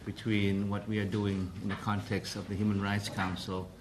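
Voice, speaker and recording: Hesitant low-voiced man briefing the press. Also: completely flat delivery, background noise. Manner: hesitant, formal, many pauses and restarts. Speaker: council president briefing the press.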